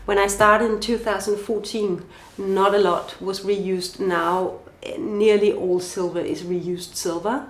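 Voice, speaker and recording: A woman's voice: medium pitch, steady tone, a little slow, hardly any pauses. Danish-accented woman in a roomy space. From Denmark. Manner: thoughtful, lists questions, some restarts. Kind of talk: podcast interview answers. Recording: roomy echo.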